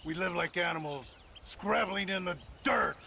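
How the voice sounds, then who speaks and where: A man's voice: high-pitched, a little slow, creaky, noisy background. A man playing a bitter, defiant rebel in a video game Western, accusing and intense. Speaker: actor in a video game cutscene.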